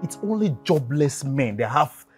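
An impatient Nigerian husband, played by an actor scolds in an exasperated, highly animated voice. He is dismissive and curt, in melodramatic screen style, over background music. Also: unhurried. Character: impatient, dismissive husband obsessed with making money. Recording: music under dialogue.

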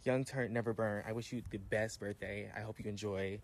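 A man's voice: lowish voice, fairly even intonation, brisk, a little hiss or hum. A man recording a playful, upbeat birthday message for a friend.

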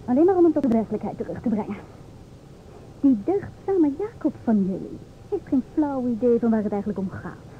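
An actress playing a combative, know-it-all young stewardess in an old radio play, with an emphatic, theatrical delivery. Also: female voice, fairly high pitch, lively intonation, brisk, close to an everyday voice. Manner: emphatic, self-assured, theatrical. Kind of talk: old-time Dutch radio play acting. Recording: old radio recording, narrow tinny band, hiss.

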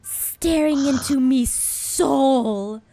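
A theatrical, excitable woman hosting and reading a story aloud amid playful banter. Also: sits high, very slow, no room sound, faint music behind. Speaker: host reading fan fiction.

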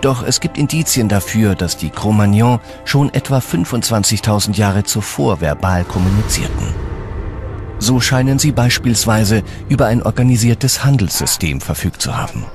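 A man with a fairly low voice narrates a documentary from a script. Music plays clearly behind him. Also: lively intonation, moderate pace.

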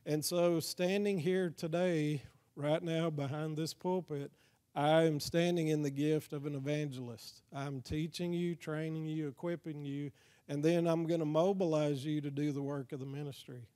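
A man's voice: a little high, fairly even intonation, moderate pace, warm tone. A man with a Texan accent preaching as an evangelist in a plain-spoken, folksy way, often checking that listeners agree. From Texas, United States. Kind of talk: evangelism training sermon from a pulpit. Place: church, speaking to a congregation.

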